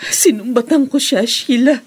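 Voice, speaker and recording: A woman's voice: high voice, moderate pace, husky. A woman acting a bitter, tearful daughter who feels betrayed, in a melodramatic radio drama. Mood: bitter anguish. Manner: tearful, wailing delivery.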